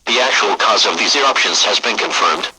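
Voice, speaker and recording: A man's voice: on the low side, expressive, fairly quick, very noisy, lightly acted. A man playing a grave, impersonal emergency broadcast announcer, reading a scripted alert in a formal, solemn manner.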